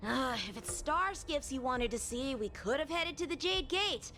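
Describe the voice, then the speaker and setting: An actress playing a blunt, impatient young companion in a game, quick with snarky remarks. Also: female voice, sits high, lively intonation, fairly bright tone, slight background noise. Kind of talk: game voice acting. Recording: game music behind.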